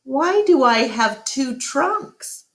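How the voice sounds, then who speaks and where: A woman's voice: high voice, expressive, very slow, voice pushed up, heavily acted. A woman voicing a curious, sleepy baby elephant girl who pleads with questions in a children's storybook. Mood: curious, pleading. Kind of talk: children's storybook read-aloud.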